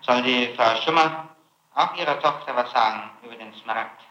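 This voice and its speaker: A man speaks as a prosecutor in a formal interrogation, with a forceful, sharp voice. He presses and reproaches as he questions. The old 1960s tape is roomy and echoey, with a dull, lo-fi sound. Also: medium pitch, moderate pace. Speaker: public prosecutor conducting an interrogation.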